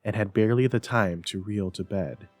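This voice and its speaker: A man narrating a classic story as a dramatic reader, with expressive, emphatic delivery. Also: fairly low pitch, moderate pace, dry recording, soft background music. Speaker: library staff story reader.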